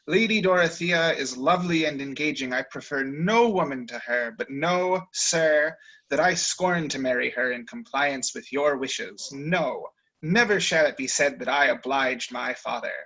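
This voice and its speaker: A man's voice: fairly high pitch, unhurried, bright, forceful tone, highs cut off, full character voice. A man reading the part of a proud, rebellious young man of feeling, speaking with grand defiance. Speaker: reader in a dramatic reading.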